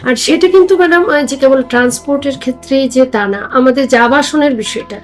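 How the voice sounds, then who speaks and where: A woman's voice: high-pitched, brisk, soft background music. A mature woman with a Bangladeshi accent speaking as a guest in a formal discussion, earnest and deferential. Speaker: guest, former judge.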